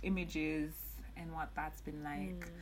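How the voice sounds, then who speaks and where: A young woman talking as a podcast guest, earnest and reflective, often pausing to find her words. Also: deep voice, fairly even intonation, slight background noise. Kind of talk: casual podcast conversation.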